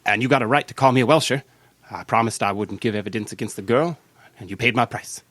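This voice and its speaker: A blunt tough guy from a radio mystery, played by a man, mid-pitched and closely recorded with no echo. He talks in plain, slangy bursts. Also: fast talker, a bit bright, lightly acted. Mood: resolute. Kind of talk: old-time radio mystery acting.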